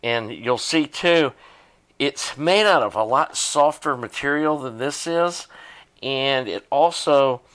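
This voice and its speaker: Man, mid-pitched voice, casual repair demo, plainspoken, unscripted, thinking aloud. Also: slow pace, fairly bright tone. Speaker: hobbyist demonstrating a tape deck repair. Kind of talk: casual hands-on repair narration.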